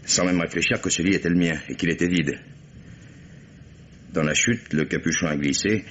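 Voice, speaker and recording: A man's voice: sits low, moderate pace, fairly bright tone. A man playing a remorseful, jealous husband confessing in grave, formal French radio drama style. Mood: grave confession. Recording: dull, noisy old radio recording.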